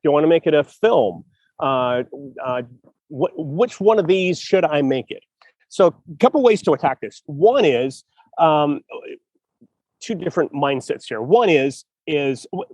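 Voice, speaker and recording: A man's voice: fairly high pitch, a little mellow, dry recording. A man hosting a casual chat about writing, talking with enthusiasm and thinking aloud with many restarts. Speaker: podcast host.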